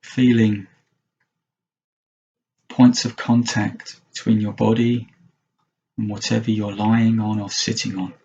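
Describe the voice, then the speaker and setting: A man guides a mindfulness meditation in a low, creaky voice. He speaks gently, leaving long pauses between short phrases. The recording is dull and slightly muffled. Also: flat delivery. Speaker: meditation teacher.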